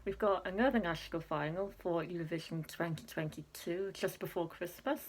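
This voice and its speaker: A young woman chatting casually to camera, filling pauses with ums and laughing at herself. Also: fairly low pitch, poor audio. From Wales. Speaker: Eurovision reaction vlogger.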